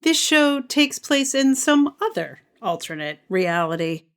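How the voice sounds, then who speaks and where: A woman's voice: high-pitched, big pitch swings, unhurried, a bit bright, smooth. A woman presenting a show with a scripted introduction to an audio drama.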